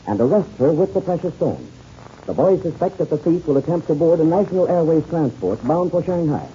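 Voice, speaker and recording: Very mellow, lively male radio narrator. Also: fairly high pitch. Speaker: announcer and narrator. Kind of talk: scripted 1930s radio serial narration. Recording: muffled, noisy old radio recording.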